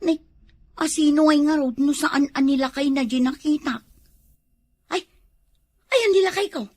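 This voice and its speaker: A woman's voice: sits high, moderate pace, a little mellow, no room sound, natural voice. A woman with an Ilocano accent playing a suspicious, scolding village wife in a comedy drama.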